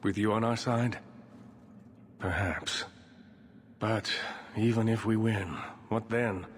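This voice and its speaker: A man playing a grim, skeptical fighter in a video game cutscene, speaking in a measured, formal way. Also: fairly low pitch, steady tone. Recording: long reverb, faint ambient noise.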